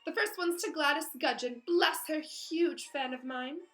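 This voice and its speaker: Storybook vain wizard professor, male, self-admiring and jovial, pitched high, loud and exclamatory female reader. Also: measured pace. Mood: beaming. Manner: gushing, pompous, exclamatory.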